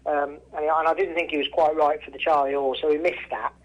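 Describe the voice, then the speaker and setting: A man's voice: fairly high pitch, flat delivery, fast. A man from England giving a casual interview over the telephone, restarting often and repeating himself for emphasis. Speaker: racehorse trainer and former jockey, interview guest. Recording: telephone line, radio-style call.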